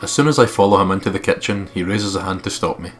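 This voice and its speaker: A man's voice: low-pitched, fast talker. A man reading game text aloud and commentating casually on a let's play, blunt and sweary.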